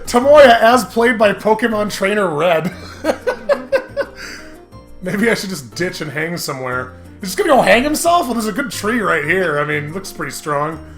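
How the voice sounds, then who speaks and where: Male let's play host, very lively swings in pitch, jokey and excitable, faint game music behind. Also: normal speed. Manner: laughs often while talking. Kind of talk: casual comedic let's play commentary. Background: video game music.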